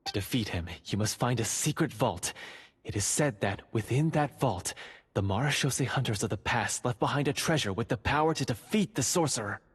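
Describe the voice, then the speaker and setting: A secretive dungeon guard in a game, with a very husky, breathy timbre and an even middle pitch. He talks in a hushed, urgent, earnest way, like someone risking everything to help. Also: a little fast. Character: secretive male dungeon guard, covert hunter ally. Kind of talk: game voice acting.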